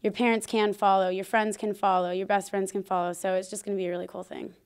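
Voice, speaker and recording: A college softball player in her twenties, talking earnestly in an interview with a handheld mic in a locker room. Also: medium pitch, fast talker, clean tone. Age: 20s. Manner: earnest, excited. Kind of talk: interview soundbite. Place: team locker room, indoors.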